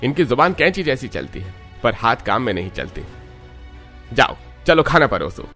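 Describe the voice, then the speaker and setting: A man's voice: mid-range voice, sing-song, moderate pace, fairly bright tone, faint music behind. A man voicing a rude, resentful village son and husband in a cartoon, contemptuous and scolding. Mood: irritated, scornful.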